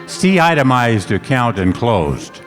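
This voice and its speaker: A hard-boiled American private detective in an old-time radio play. His delivery is wry and lively, swinging in pitch. The sound rings in a stage hall, with a little music behind. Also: slow pace. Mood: dry, narrating. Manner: wry, teasing. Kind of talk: 1940s radio acting.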